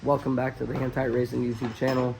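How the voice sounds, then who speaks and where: Hoarse, gravelly man hosting a car channel. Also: medium pitch, slight background noise, poor audio. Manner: relaxed, chatty, with frequent filler pauses. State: hoarse, losing his voice. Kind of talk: casual how-to narration. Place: auto shop garage.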